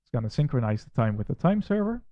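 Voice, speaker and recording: A man from the Netherlands with a Dutch accent, walking through a software installer step by step and critiquing it in a plain, methodical way. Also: mid-range voice, very animated, fast talker, no echo, lo-fi sound. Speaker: tech reviewer. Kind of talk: screen-recorded software walkthrough.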